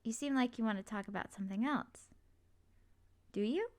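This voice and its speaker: A woman playing a supportive, doting mother in an ASMR roleplay, talking in a gentle, reassuring, slightly rambling way. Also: fairly high pitch, moderate pace, close to an everyday voice. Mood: caring, attentive. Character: supportive, doting, chatty mother comforting her child. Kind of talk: ASMR roleplay, intimate one-sided conversation.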